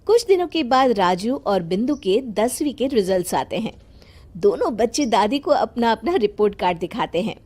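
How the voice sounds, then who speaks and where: A woman reading scripted narration for an animated family drama. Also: a little high, moderate pace.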